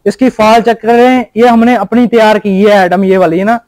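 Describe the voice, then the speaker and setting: A young man selling cloth in a warehouse, persuasively pitching fabrics and repeating prices. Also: steady tone, normal speed, darkish tone, dry recording. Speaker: cloth wholesaler. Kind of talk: sales pitch.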